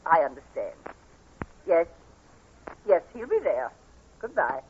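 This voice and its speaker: An actress playing a concerned, firm middle-aged aunt, earnest and melodramatic in a 1940s radio play. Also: female voice, low-pitched, big pitch swings, takes it slowly, a little mellow, exaggerated voice. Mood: shocked, polite. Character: Aunt Sally, concerned, firm guardian aunt of blind nephew. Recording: dull, noisy 1940s radio recording.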